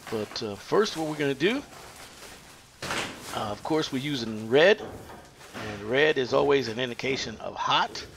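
A man working as a plumber, explaining a pipe installation in an unfinished house, pausing often with fillers. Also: mid-range voice, expressive, creaky, fairly bright tone, slight background noise. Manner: halting, many "uh" fillers. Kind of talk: hands-on how-to explanation. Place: unfinished house under construction, indoors.

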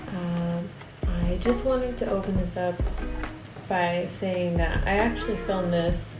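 Young female vlogger, slow, slightly raspy, hesitant, echoey home room. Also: on the low side. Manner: halting, many fillers and restarts. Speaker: art vlogger. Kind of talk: casual confessional talk. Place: home studio room with desk and monitors.

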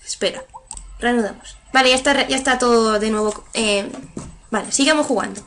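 A young woman with a Spain Spanish accent, giving casual, excitable commentary on a game at her computer. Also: fairly high pitch, brisk, slight background noise. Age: young adult. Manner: excitable, laughs often, sudden shrieks. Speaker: let's player. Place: room at a computer.

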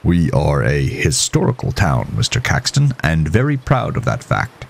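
A man voicing a gruff, stern, impatient small-town doctor with formal, precise speech in an audio horror story. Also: extremely low pitch, wildly swooping pitch, moderate pace, a little hiss or hum, heavily acted. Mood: formal, measured.

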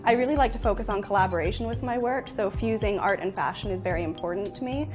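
A woman's voice: medium pitch, fast. A young woman in her twenties, a designer, earnestly explaining her dresses in a studio interview. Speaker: fashion designer guest. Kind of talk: describing her work in an interview. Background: background music.

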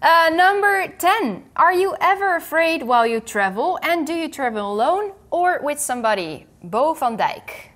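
A woman's voice: sits high, sing-song, normal speed, few pauses, a bit bright. A woman in her thirties hosting an interview, reading out questions in a friendly way from a studio desk.

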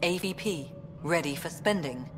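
An actress voicing a brisk, businesslike female colonial director in a video game. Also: deep voice, expressive, long reverb tail, music in the background. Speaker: colonial affairs director character.

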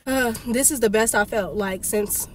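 A woman's voice: on the high side, moderate pace, a little hiss or hum. A young woman vlogger, sick and congested, telling a story animatedly and venting in a parked car, filmed on a phone. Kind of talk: casual vlog rant. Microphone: phone camera on dashboard.

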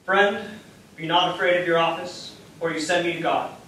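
A dignified, devout statesman facing death , played by a man in a stage play. His voice is high, and he orates in measured, formal phrases, defiant yet serene. It is heard from far off in a very echoey theatre. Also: steady tone, fairly bright tone, lightly acted. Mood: quiet, serene. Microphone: far camera in audience. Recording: echoey theatre, distant mic, faint noise.

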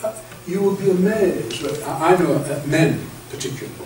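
An older man lecturing clergy in a meeting room, his voice a touch higher than most men's. He talks in a chatty, anecdotal way, tripping over restarts. The sound is echoey and distant, picked up by a camera across the room, with a faint hiss behind. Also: moderate pace, a little mellow. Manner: chatty, anecdotal, many restarts and stammered repeats. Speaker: bishop leading clergy training. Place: classroom-sized meeting room with projector screen. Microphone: far camera.

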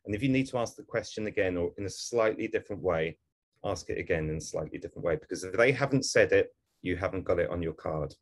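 A man with a fairly low voice hosts a video masterclass over a call. He sounds upbeat and encouraging, and he passes along practical advice about video making. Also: expressive, fast talker, a little hiss or hum. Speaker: masterclass host.

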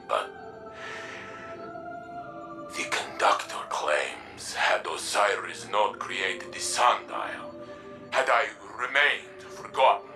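An actor playing a weary, noble robot warrior in a video game, with a halting, solemn delivery. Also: male voice, on the low side. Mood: anguished, resolute. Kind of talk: dramatic video game monologue.

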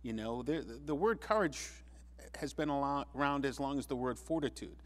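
A man speaking earnestly on a panel discussion, measured, with frequent restarts. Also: medium pitch.

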